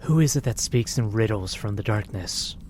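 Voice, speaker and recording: A man playing an imperious, suspicious dark sorcerer with formal, commanding speech in a gothic horror audio drama. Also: lowish voice, lively intonation, normal speed, a little hiss or hum, close to an everyday voice.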